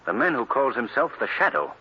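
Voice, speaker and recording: A man's voice: medium pitch, lively intonation, brisk, close to an everyday voice. An actor playing an earnest, resolute male crime fighter in a 1940s-style radio drama, with an emphatic, dramatic delivery. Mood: serious, thoughtful. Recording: noisy, dull old radio recording.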